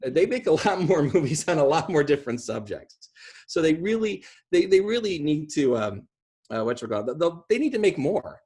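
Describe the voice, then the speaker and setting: Husky-voiced middle-aged American man. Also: mid-range voice. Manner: animated, gesturing, rambling with asides. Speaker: video host discussing video game films. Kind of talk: casual, off-the-cuff talk. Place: small room at home, indoors.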